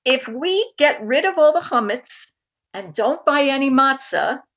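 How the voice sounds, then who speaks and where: A woman voicing an agreeable uncle full of ideas in a children's picture book, with emphatic, drawn-out delivery. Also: sits high, lively intonation, fairly bright tone, pitched above the performer's own voice, full character voice. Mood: agreeable, eager.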